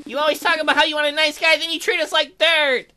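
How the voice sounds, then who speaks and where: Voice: sits high, little pitch movement, fast talker, bright, forceful tone, slight background noise, pitched above the performer's own voice. A performer voicing a needy, self-pitying male bear in a visual novel, whining and wailing melodramatically. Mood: wailing despair. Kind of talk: visual novel character reading.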